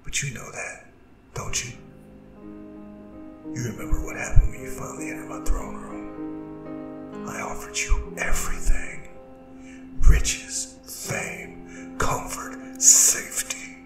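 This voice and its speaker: A man voicing a seductive, gloating Demon King in an ASMR roleplay, breathy and mostly whispered, taunting and intimate with theatrical drawn-out phrasing. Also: natural voice. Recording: roomy distant echo, music underneath. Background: music.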